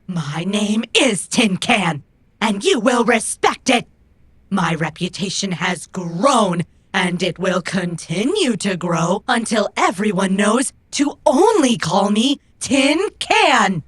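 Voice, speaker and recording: A woman acts out a proud female robot in a cyberpunk audio drama. The voice is husky and slow, and every phrase comes out formal and exact. The robot is stubborn and will not bend. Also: mid-range voice, expressive. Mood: proud, defiant.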